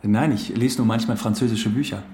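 Actor as echoing, calm male prisoner. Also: lowish voice, fairly quick, a little hiss or hum, natural voice. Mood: calm, reserved. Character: calm, cultivated male long-term prison inmate. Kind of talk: naturalistic radio drama acting. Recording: very echoey cell-like room, faint music.

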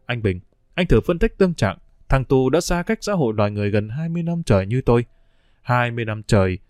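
A man's voice: medium pitch, darkish tone, no echo, close to an everyday voice. A tired middle-aged prisoner's letter, read aloud by a male narrator, delivered in a melancholy, reflective tone. The recording is dry and close-miked.

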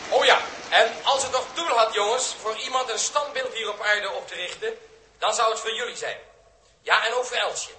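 An actor playing a ceremonious official in a 1960s radio play, giving a formal oratorical address. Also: male voice, high-pitched, pushed and bright. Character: ceremonious male official addressing a packed hall. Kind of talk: 1960s Dutch science fiction radio play acting. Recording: roomy, echoing hall sound.

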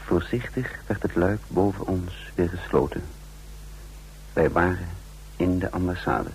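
A man's voice: sits low, unhurried, darkish tone, rough recording. A man playing the careful, commanding chief of a break-in team in a radio drama, terse and controlled. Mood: narrating, tense. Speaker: narrator and lead character. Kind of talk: radio drama acting and narration. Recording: radio drama with faint background noise.

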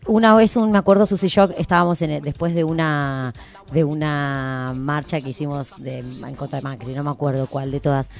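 A woman with an Argentine accent hosts casual radio chat in a lowish voice. She talks in an informal, slangy storytelling way, with some music behind her. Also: fairly even intonation, moderate pace, gravelly. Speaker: radio host. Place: radio studio.

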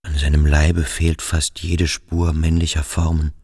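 An actor playing a brooding schoolboy in a radio drama, speaking his inner thoughts in a terse, halting way. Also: rumbling low, flat delivery, darkish tone, husky, no echo, studio quality, full character voice. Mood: hushed observation.

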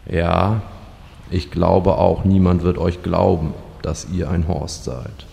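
An actor playing a dour, deferential servant of a fire lord in a comedic fantasy audio drama, speaking formally and deadpan. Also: male voice, low-pitched, slow, gravelly, heavily acted. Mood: dry, solemn. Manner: formal, deadpan, solemn courtly phrasing. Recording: long hall reverb, faint noise.

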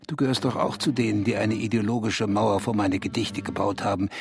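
A man's voice: lowish voice, fairly even intonation, brisk, creaky, over music. An actor playing a bitter, self-absorbed middle-aged writer in a radio drama, brooding and declamatory in his accusations. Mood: bitter, accusing. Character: bitter, self-absorbed East German male writer, needy lover.

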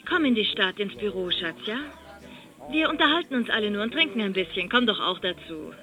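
An actress playing a chatty office worker on the phone, familiar and persuasive, in radio drama dialogue. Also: female voice, mid-range voice, expressive, fairly quick, pushed and bright, low-quality recording.

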